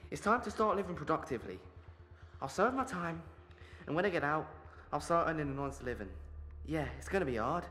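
Repentant young thief behind bars, male actor: slightly high, animated, earnest speechmaking for audio drama. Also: fairly quick. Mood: earnest, resolute. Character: remorseful young petty thief in prison, resolute to reform.

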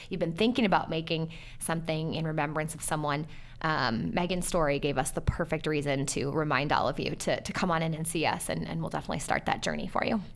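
A woman hosting a casual podcast interview in a chatty, encouraging manner. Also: low voice. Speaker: podcast host.

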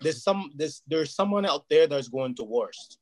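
A man's voice: on the high side, lively intonation, normal speed, noisy background. A man whose first language is Portuguese, talking earnestly and at length as an interview guest, often checking "you know what I mean". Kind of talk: casual interview chat.

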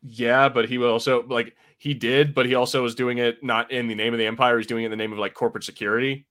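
A man chatting informally as a podcast host, jokey and loose, with halting restarts as he talks. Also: medium pitch, little pitch movement, fairly bright tone. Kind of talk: casual chat.

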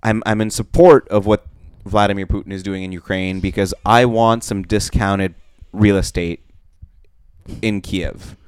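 Young guy from russia, deadpan storyteller, lowish smooth voice, dry close sound. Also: a little slow, dry recording. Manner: deadpan storytelling, bursts loud when riled. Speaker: podcast co-host. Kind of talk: casual comedic chat.

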